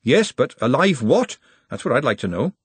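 A man voicing a brisk, no-nonsense young female cook with a blunt, scolding, bossy manner in a story reading. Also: mid-range voice, big pitch swings, slightly rough, dry recording. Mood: suspicious.

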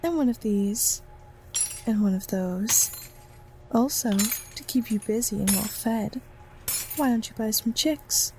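A woman playing a flirtatious general store keeper in an audio drama, lingering suggestively on her words and dropping into breathy murmurs. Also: a little high, background noise. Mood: flirtatious, teasing. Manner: slow, lingering, innuendo-laden delivery.

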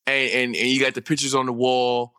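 A man chatting on a podcast, animated and heated, using slang like "bro" and "yo". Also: mid-range voice, a little fast, no room sound, clean studio sound. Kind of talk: casual podcast chat.

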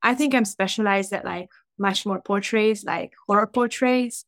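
A woman in her thirties with a Hungarian accent tells her story in a loose, chatty way, full of fillers and quick laughs. Her voice sits at a middle pitch. The sound is close and dry. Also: a bit bright, no echo. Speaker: podcast guest, tattoo artist. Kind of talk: casual storytelling.

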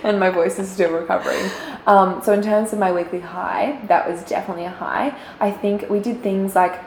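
A young woman co-hosting a casual chat, her voice croaky as she talks chattily with lots of fillers and giggles. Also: medium pitch, steady tone, fairly quick, a little mellow. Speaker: podcast co-host. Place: quiet room, shared mic. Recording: echoey room, faint background noise.

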